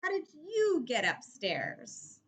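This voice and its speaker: A woman's voice: high-pitched, wildly swooping pitch, takes it slowly, heavily acted. A woman reading a storybook, voicing a puzzled male night watchman who exclaims and questions. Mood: curious. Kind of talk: children's storybook read-aloud.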